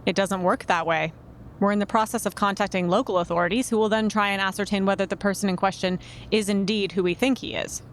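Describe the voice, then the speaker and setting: An actress playing a composed, shrewd investigating agent in a podcast drama, polite, official and measured. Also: female voice, mid-range voice, steady tone, a little hiss or hum, lightly acted. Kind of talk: naturalistic fiction podcast acting.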